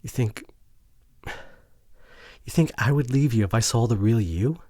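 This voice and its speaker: A man playing a remorseful, devoted boyfriend in an intimate ASMR audio drama, with a breathy voice that often drops toward a whisper and an earnest, halting delivery. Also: mid-range voice, dark tone, no echo, clean studio sound. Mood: pleading, distressed. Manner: emotional, earnest, halting with sighs. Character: remorseful, devoted boyfriend pleading to repair relationship.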